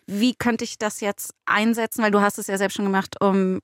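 A woman of average pitch chats as a co-host. She sounds reflective and a little hesitant, recorded dry in a studio. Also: steady tone, moderate pace, no room sound. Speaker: podcast co-host, screenwriter. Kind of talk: casual conversation.

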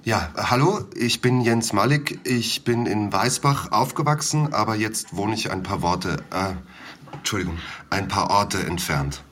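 A man's voice: lowish voice, unhurried, fairly bright tone, slight background noise. An actor in his thirties playing a hesitant village man and father of two, talking with fillers and small slips. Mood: explaining. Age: 30s. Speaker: villager and witness. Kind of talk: audio drama acting.

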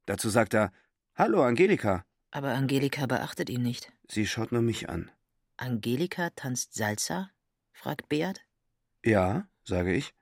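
An actor playing an uneasy crane operator in a radio play monologue, hushed, terse and measured. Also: male voice, medium pitch, sing-song, creaky, no echo, studio quality, exaggerated voice. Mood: subdued, uneasy. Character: male crane operator narrating his unease.

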